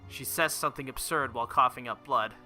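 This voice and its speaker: Male let's-play reader, very bright forceful voice, dramatic visual novel narration over music. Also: medium pitch, fairly quick. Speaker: let's play video host. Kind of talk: reading visual novel text aloud.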